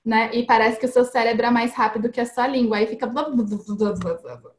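A woman with a fairly high voice explains learning tips in a relaxed, chatty way, often checking in with tag questions. Her voice rings in an echoey room. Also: brisk. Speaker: English teacher guest. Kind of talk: casual interview advice.

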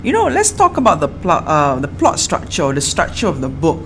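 A woman with a slightly low voice hosts a casual book chat. She is informal and conversational, often turning inquisitive. Faint noise sits behind her. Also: huge pitch swings, fairly quick. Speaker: book review host asking questions.

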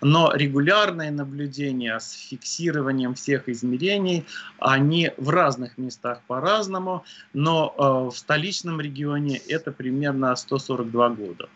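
A man answering interview questions about weather observation, speaking in a measured way with frequent hesitations. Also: mid-range voice, fairly bright tone, highs cut off. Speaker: meteorologist guest.

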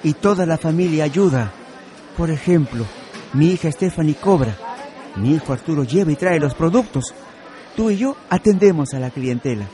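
A man's voice: fairly high pitch, animated, normal speed, dark tone, a faint crowd, close to an everyday voice. An actor with a Peruvian accent playing a contented, hardworking middle-aged father who runs a family shop in a radio soap. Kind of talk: educational radio soap acting.

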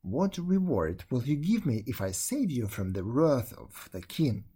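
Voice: mid-range voice, very animated, unhurried, mellow, slightly rough. A reader voicing a shrewd holy man in a prison who speaks solemnly in old-fashioned words.

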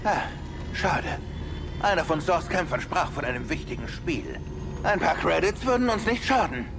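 Sarcastic, animated spaceship pilot. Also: male voice, fairly high pitch, normal speed, over music, poor audio. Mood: wheedling. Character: grumpy, wisecracking male pilot with gambling habit. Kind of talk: German audio drama acting.

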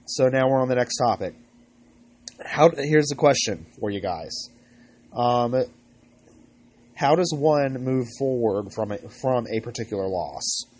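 A man hosting a podcast with informal advice, congested from a cold, speaking in a halting, rambling way and often correcting himself. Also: medium pitch, lively intonation, a little mellow. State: recovering from a cold. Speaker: podcast host.

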